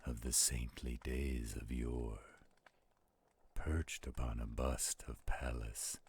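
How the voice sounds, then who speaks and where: A man voicing a slow-spoken werewolf who solemnly recites gothic poetry in an audio roleplay, with deliberate, gravelly delivery. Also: a bass voice, darkish tone, a little hiss or hum, heavily acted. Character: slow-spoken male werewolf reading a poem by campfire.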